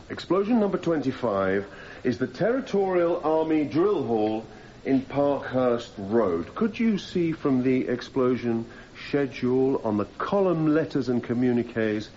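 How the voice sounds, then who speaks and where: A man's voice: mid-range voice, mellow. A defence barrister in a radio drama, formal and probing. He builds leading questions step by step in a mid-range voice. The sound is slightly muffled, with faint noise underneath. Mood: pointed, persuasive. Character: probing, persistent male defence barrister cross-examining a witness. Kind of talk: radio courtroom drama acting.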